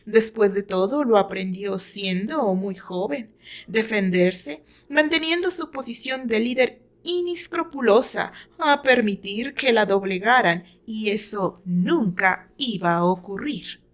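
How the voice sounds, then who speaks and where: A woman's voice: mid-range voice, lively intonation, takes it slowly. A woman with a Mexican accent reading her own fiction aloud as dramatic scripted narration.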